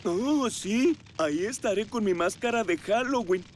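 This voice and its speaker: An actor with a Latin American accent playing a good-natured, apologetic junior firefighter in a children's cartoon dub. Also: male voice, high-pitched, lively intonation, unhurried, a little hiss or hum. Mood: chatty, sheepish.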